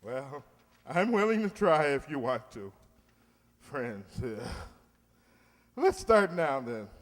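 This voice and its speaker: Male actor as pompous middle-aged bachelor, blustery, old-time radio comedy, slow delivery, swooping pitch. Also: very animated, big-room sound. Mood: flustered, lovestruck. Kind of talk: 1940s radio comedy acting.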